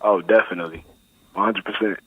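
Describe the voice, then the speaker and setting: A man's voice: deep voice, fairly even intonation, quick pace, slightly rough, no room sound. A nineteen-year-old man from Buffalo, New York, a rap artist talking about his music in a laid-back, slangy way as a guest on a phone interview. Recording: tinny phone line, dry.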